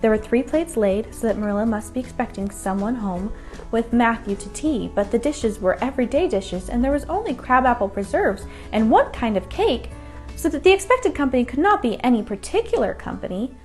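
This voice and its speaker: A woman reads a children's bedtime story as narrator. Her voice is on the high side, and music plays behind the reading. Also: expressive, brisk, a little mellow.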